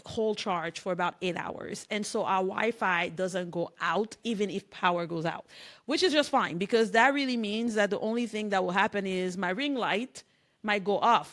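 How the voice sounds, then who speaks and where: A middle-aged woman from Cameroon, a business coach, talking casually and lively to camera with occasional laughs. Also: mid-range voice.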